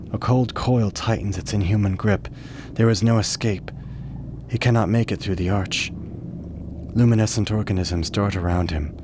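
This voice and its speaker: A man narrating a scripted radio play in a measured, literary storytelling manner. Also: fairly low pitch, background noise.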